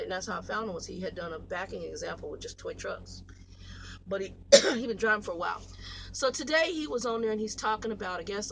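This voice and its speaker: An American woman in her late forties talks casually to her viewers, rambling through stories in a blunt, animated, slangy way. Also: medium pitch, a little hiss or hum. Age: 40s. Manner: blunt, animated storytelling with slangy, salty language. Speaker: truck driver vlogger.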